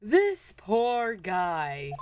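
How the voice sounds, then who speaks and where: A woman's voice: medium pitch, huge pitch swings, drawn-out words, smooth, no room sound. A woman giving casual, jokey live stream commentary, with music behind her voice. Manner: giggly, jokey, reactive.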